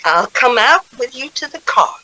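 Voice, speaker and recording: A woman playing a doting, tearful older mother in a radio drama, speaking tenderly and haltingly. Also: on the high side, sing-song, bright, forceful tone. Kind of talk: 1940s radio drama acting.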